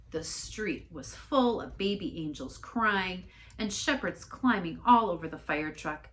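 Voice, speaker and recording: A woman reading a storybook aloud in an expressive narrating style. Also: on the low side. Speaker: story reader, church staff member.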